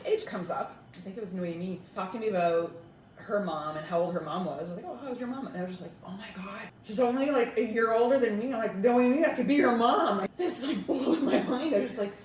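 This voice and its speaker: A woman's voice: mid-range voice, darkish tone. A woman in her late forties sharing anecdotes in casual conversation. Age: late 40s. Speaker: talk show participant, roller derby skater. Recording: roomy echo, faint background noise.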